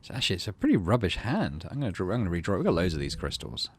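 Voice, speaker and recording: A man's voice: sits low, wildly swooping pitch, very fast. A man hosting a let's play, commenting on a game and reading its text aloud. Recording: clear background noise.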